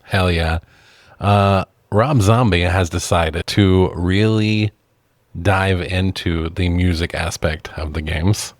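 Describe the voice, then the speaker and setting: A man with a very deep voice co-hosts a movie podcast. His delivery is laid-back and conversational, with casual swearing. The recording is dry and free of echo. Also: takes it slowly. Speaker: podcast co-host reviewing films. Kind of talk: casual film chat with swearing.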